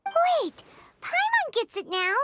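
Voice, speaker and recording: A woman voicing a tiny, excitable, childlike fairy companion in a video game, squeaky and exclamatory. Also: slow, very noisy, exaggerated voice. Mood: excited, triumphant. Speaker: voice actor in a game. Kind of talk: animated video game story dialogue.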